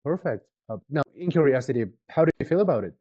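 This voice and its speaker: A man making a conversational sales pitch on an online call, informal and often checking in with questions. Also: lively intonation, quick pace, mellow, no room sound, lo-fi sound. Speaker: sales representative for a marketing agency.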